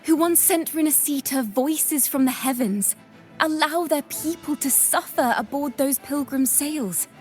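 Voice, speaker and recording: High-voiced theatrical young bard. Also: female voice. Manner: theatrical, measured, poetic delivery. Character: theatrical young female bard, defiant, playful storyteller. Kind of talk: dramatic storytelling in game voice acting. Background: music.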